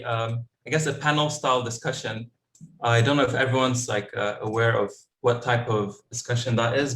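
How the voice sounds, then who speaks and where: A young man moderates a youth panel in loose, halting speech full of fillers and restarts. His voice is mid-pitched and carries a roomy echo. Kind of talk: hesitant casual moderating.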